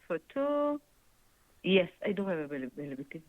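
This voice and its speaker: A woman's voice: on the low side, very animated, brisk. A woman receptionist with an Israeli accent, answering a phone call politely and patiently. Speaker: hotel receptionist. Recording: tinny phone line.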